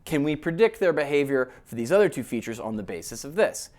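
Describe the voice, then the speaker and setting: A man lecturing in a relaxed, conversational way, explaining ideas step by step and often checking in with his listeners. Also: on the high side, fast talker. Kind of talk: academic lecture.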